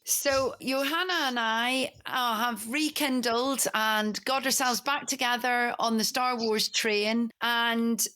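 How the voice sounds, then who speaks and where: A woman's voice: a little high, fairly even intonation, takes it slowly, few pauses, sharp tone. A woman in her forties from Northern Ireland with a Northern Irish accent, co-hosting a podcast in a chatty, enthusiastic, rambling way. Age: 40s. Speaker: podcast co-host. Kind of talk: casual podcast chat.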